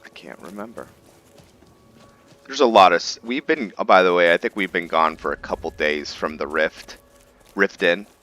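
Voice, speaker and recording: A man giving casual roleplay gameplay commentary as a let's-play host, haltingly thinking aloud as he goes. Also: sits low, pushed and bright, soft background music. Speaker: video game streamer, let's-play host.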